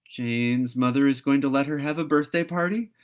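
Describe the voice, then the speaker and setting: A man's voice: medium pitch, normal speed, muffled recording, voice pushed up, lightly acted. Man reading as a dreamy, eager storybook girl. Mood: eager guessing. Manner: gushing, expressive.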